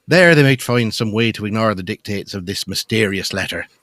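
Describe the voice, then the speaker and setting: A man's voice: lowish voice, lively intonation, a little fast, a bit bright, no echo, clean studio sound. A man reading scripted narration for a gothic audio drama.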